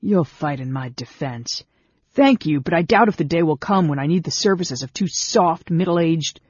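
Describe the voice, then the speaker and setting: A man's voice: mid-range voice, unhurried, warm tone, slightly rough, dry recording, dull audio. A man voicing an arrogant, suspicious young warrior in a fantasy audiobook, with a sneering, sarcastic manner. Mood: bitter, mocking.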